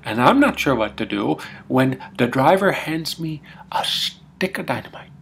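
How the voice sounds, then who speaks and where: A man playing a folksy, rambling Minnesotan husband with a Scandinavian-tinged Minnesota accent, telling comic tall tales in halting, homespun grammar. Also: medium pitch, very animated, creaky, fairly bright tone, slight background noise, heavily acted. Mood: uneasy, relieved. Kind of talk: comic tall-tale storytelling.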